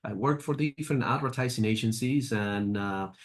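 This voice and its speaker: Man, fifties, halting, filler-heavy, middle pitch. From Argentina. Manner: halting, with frequent fillers and restarts. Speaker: guest, agency president. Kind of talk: interview answers.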